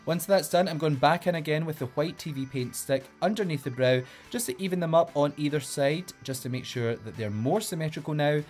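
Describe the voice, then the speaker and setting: A drag queen with a Glaswegian Scottish accent walks the listener through a makeup routine, his voice sitting somewhat high for a man. He is playful and upbeat while giving clear step-by-step directions. Soft music plays quietly behind him. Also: a little fast, few pauses. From Glasgow, Scotland. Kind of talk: makeup tutorial.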